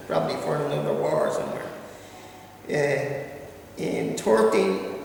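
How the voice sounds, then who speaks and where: Gravelly man lecturing in an echoey hall. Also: fairly high pitch, slight background noise. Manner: reads steadily, then breaks into hesitant asides. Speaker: local history speaker. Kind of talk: history lecture read from notes. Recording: echoey hall recorded from far off.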